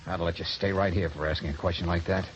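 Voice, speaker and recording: An actor playing a tough, protective, slangy private investigator in a 1940s radio drama, on a muffled old recording. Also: male voice, sits low, steady tone, a little hiss or hum, close to an everyday voice. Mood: urgent, protective. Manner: brisk, slangy, wry.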